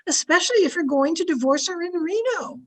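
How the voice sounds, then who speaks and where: A woman's voice: very high voice, expressive, a little fast, a bit bright. A woman playing a meddling little old aunt who scolds sweetly in an old-time radio comedy. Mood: mock reproach. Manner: scolding, mock-innocent.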